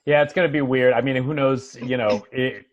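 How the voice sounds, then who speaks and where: A man's voice: medium pitch, brisk, no room sound, lo-fi sound. An American man chatting casually on a video call in a rambling, conversational way. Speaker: actor and guest on a video call. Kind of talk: casual chat. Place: at home on an online video call.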